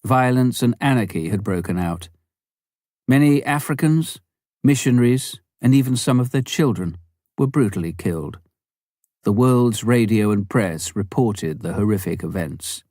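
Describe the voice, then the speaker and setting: A man narrating a written memoir as an audiobook reader, in a measured, deliberate way. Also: fairly low pitch, expressive, a little mellow, no room sound, studio quality.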